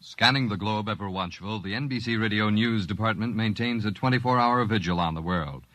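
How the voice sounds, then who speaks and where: A man's voice: fairly low pitch, brisk, a bit bright, no room sound. An American man reading a scripted 1950s radio promo with emphatic, polished delivery. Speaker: radio announcer. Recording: vintage radio broadcast.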